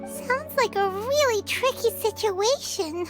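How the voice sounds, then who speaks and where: A woman voicing a tiny, childlike fairy companion in a video game, squeaky, chirpy and excitable, with naive exclamations. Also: slow, over music, heavily acted. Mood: worried, earnest. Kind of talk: video game character acting.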